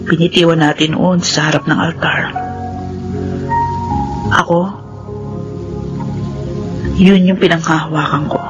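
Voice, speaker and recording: A woman voicing a forgiving, devoted wife who speaks softly and earnestly, in a radio drama. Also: low-pitched, steady tone, a little slow, long pauses, dull audio, over music. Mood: tender. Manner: soft, tender, earnest.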